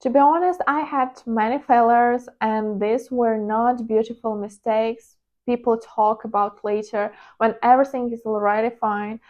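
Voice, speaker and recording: A woman's voice: fairly high pitch, steady tone, moderate pace, muffled recording. A woman with a Ukrainian accent, a native Ukrainian speaker, talking candidly and reflectively to her channel's viewers, with the odd hesitant "uh". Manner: candid, confessional, with hesitant fillers. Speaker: trading vlogger. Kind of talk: personal reflective monologue.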